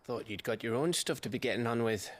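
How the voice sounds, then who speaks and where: A man's voice: mid-range voice, fast talker, slight background noise, close to an everyday voice. Man acting a blunt scout. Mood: brisk, practical. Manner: blunt, colloquial, earthy. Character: rough-spoken, loyal rebel scout. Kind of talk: video game cutscene acting.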